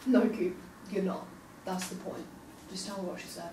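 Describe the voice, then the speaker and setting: A woman's voice: lowish voice, steady tone, normal speed, lightly acted. A woman reading the part of an upset, wounded young woman at a drama table read, recorded at a distance in a noisy, echoey room. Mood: frustrated, accusing.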